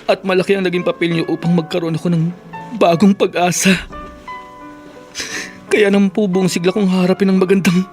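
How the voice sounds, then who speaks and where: A hopeful young man in a Filipino radio drama, acted by a man, with a slightly high voice. He sounds earnest and grateful. Soft music plays faintly behind. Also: little pitch movement, a little fast, long pauses, close to an everyday voice. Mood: humble, grateful.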